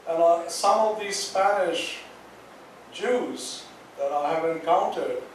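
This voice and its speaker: A man from Goa recalling his upbringing on a panel, speaking slowly and reflectively with frequent pauses. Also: sits high. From Goa, India. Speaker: panel guest, author. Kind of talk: recounting memories on a panel. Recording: distant mic in echoey hall, faint noise.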